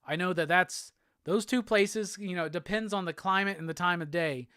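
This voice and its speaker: A man from Alabama with a Southern accent, hosting a casual live show and answering chat comments in a relaxed, rambling way. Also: high-pitched, moderate pace, clean tone, no echo, studio quality. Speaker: host.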